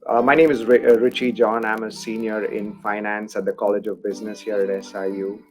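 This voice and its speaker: A man's voice: on the low side, flat delivery, brisk, over music. A young male student giving an unscripted testimonial with formal, wordy phrasing. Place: indoor office.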